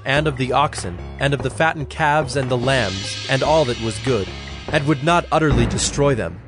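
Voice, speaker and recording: A man narrating scripture from a script in a measured, solemn manner. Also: mid-range voice.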